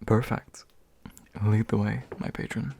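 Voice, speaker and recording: Man playing a gentle, slow-spoken courteous demon. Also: lowish voice, little pitch movement, vocal fry, a little hiss or hum. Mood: courteous, soft. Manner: gentle, hesitant, formally polite. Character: courteous, gentle male demon offering comfort to his summoner. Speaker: ASMR voice actor. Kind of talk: soft ASMR roleplay acting.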